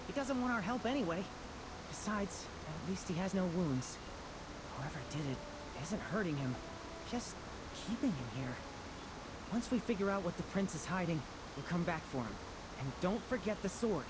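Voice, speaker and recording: A woman's voice: fairly low pitch, moderate pace, pauses often, natural voice. A woman voicing a playful, teasing little female fox companion in a video game. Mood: matter-of-fact. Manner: teasing, expressive. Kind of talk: video game character acting. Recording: hissy background noise.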